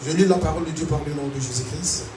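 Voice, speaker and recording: High-voiced preacher in an echoing church hall. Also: male voice, fast talker. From Africa. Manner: animated preaching with call-and-response. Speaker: pastor. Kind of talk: sermon. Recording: echoey hall, distant mic, noisy.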